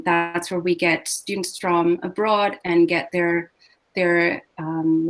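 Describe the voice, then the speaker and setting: A woman with a somewhat low voice presents an online teaching workshop. She explains things steadily and pauses often on ums. She sounds like she is on a computer mic during a video call. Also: rough recording. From Argentina. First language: Spanish. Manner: measured, explanatory, frequent ums. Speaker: English language instructor.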